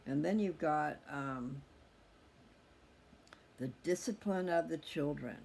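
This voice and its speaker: A middle-aged man with a slightly high voice speaks in a loose, candid way, as if thinking aloud to his listeners. His talk is casual and reflective. There is noticeable background noise on the recording. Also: slow, darkish tone. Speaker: host of a personal video channel. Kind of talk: casual, rambling personal monologue. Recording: clearly audible background noise.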